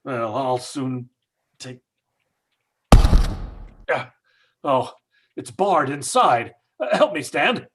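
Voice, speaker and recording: A man's voice: medium pitch, big pitch swings, drawn-out words, long pauses, very noisy. An actor playing a desperate, guilt-stricken father in an old-time radio horror play, stammering and agitated. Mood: anguished. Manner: agitated, stammering, breathy exclamations. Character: desperate, guilt-stricken father searching for his abducted daughter.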